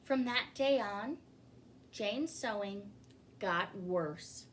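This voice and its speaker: A woman reading a children's picture book aloud as a story-time host, in an expressive manner. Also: mid-range voice. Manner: expressive, pausing to ask listeners questions. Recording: clearly noisy recording.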